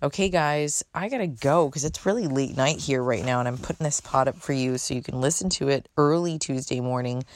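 Woman, podcast host, very deep voice, soothing, chatty, unfiltered, dry close sound. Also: brisk, few pauses, no room sound. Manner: chatty, unfiltered, swears casually. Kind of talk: casual solo podcast chat.

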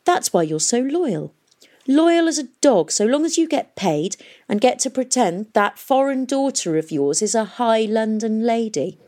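A woman's voice: fairly low pitch, a little fast, a little mellow, close to an everyday voice. A woman reading the part of a young woman who bitterly accuses her father of murder. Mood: scornful.

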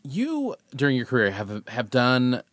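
A man with a mid-pitched voice that moves around in a lively way, interviewing casually. He is agreeable and feels his way through his phrasing, recorded dry and close. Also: a little slow, no echo.

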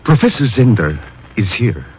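Deep, expressive doctor. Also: male voice, moderate pace, slightly rough, full character voice. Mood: grave, confiding. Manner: formal, expressive phrasing. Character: warm, courteous Latin American male doctor, secretive old friend. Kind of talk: 1950s radio drama acting. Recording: dull, faintly noisy old radio recording.

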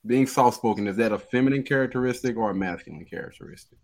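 A man hosting a casual group discussion, relaxed and quick to laugh as he puts questions to his guests. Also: medium pitch. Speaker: host.

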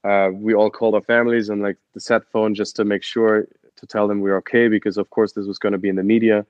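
A man's voice: lowish voice, little pitch movement, quick pace, a little mellow, no room sound, muffled recording. A young man from Germany with a German accent, telling his story as an interview guest with many fillers and restarts. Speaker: guest, adventure photographer and expedition guide.

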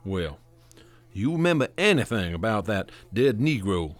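A man's voice: lowish voice, sing-song, normal speed. A man playing a courteous, patiently probing police inspector in a radio drama. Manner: polite, casually probing.

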